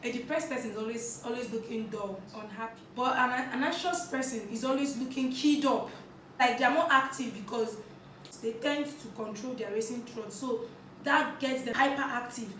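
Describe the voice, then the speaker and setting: A woman's voice: fairly high pitch, moderate pace, a bit bright, recorded from a distance, a little hiss or hum. A woman, hosting a casual vlog and chatting while she snacks, giggly and talkative, with crunchy bites between her words. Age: 20s. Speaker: vlog host. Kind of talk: casual talk while eating on camera. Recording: echoey room, set back from the mic.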